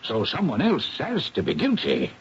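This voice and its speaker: Husky British police superintendent, colloquial and respectful, swinging pitch, old radio drama on a dull recording. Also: male voice, wildly swooping pitch, normal speed, exaggerated voice. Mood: puzzled, doubtful. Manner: colloquial, deferential, hesitant phrasing. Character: deferential, wordy, down-to-earth British police superintendent. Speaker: actor in radio mystery.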